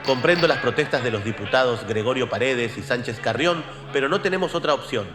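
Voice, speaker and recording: A man's voice: mid-range voice, expressive, fairly quick, fairly bright tone, natural voice. An actor playing a conciliatory but resigned male congressman addressing a chamber in a historical audio drama. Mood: resigned, firm. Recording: long echoing hall reverb.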